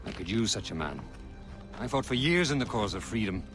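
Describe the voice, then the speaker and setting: A man playing an earnest, weary veteran rebel leader in a video game, speaking in a measured, oratorical way. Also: fairly low pitch, sing-song. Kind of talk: video game cutscene acting. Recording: loud background music.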